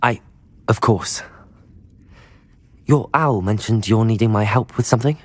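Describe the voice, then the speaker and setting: An actor playing a polite, composed young student wizard in a video game, speaking with a British accent and courteous restraint. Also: male voice, lowish voice, unhurried, darkish tone, no echo.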